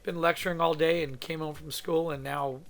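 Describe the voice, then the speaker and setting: A man from California with a hoarse voice from a cold, thinking aloud in a rambling, casual way as he teaches a drawing demo in his home studio. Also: on the high side, fairly even intonation, moderate pace. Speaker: art instructor.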